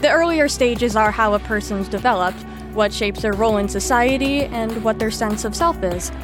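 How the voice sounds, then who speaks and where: A woman's voice: fairly high pitch, moderate pace, over music, lightly acted. An actress in an audio drama playing an exasperated, sardonic young woman who leads a group lesson in a weary, lecturing manner. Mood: dry, lecturing.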